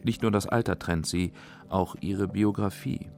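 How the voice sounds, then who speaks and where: Low male documentary narrator over music. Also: measured pace, a little mellow. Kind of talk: scripted radio feature narration.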